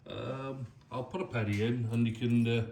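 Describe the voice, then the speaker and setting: A man co-presenting a restoration vlog with casual, halting explanations and dry, grumbling banter. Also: mid-range voice, fairly even intonation, mellow. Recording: echoey room, distant mic, faint noise.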